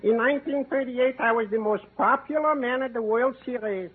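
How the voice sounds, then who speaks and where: Sing-song comic neighbor with a thick accent. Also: male voice, very high voice, moderate pace, husky. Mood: jovial. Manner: excitable, hooting, rambling. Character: chatty cheerful older male neighbor with a thick comic accent. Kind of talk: 1940s radio comedy acting. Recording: tinny scratchy old radio broadcast.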